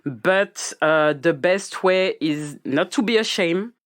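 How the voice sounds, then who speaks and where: A woman's voice: lowish voice, slow pace. A woman with a thick French accent, a podcast guest speaking English as her second language, chatting casually and laughing often. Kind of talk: casual conversation.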